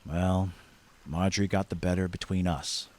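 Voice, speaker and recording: A man playing a gruff but loyal old fishing buddy in an audio drama, with blunt, folksy delivery. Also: sits low, fairly even intonation, moderate pace, darkish tone, lightly acted. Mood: dry, teasing.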